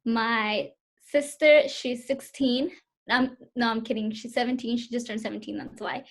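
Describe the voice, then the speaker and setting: A woman's voice: a little high, steady tone, normal speed. Hesitant young woman on a video call. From Southern California, US. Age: 20s. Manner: hesitant, many fillers. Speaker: interviewee. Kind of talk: casual interview answers.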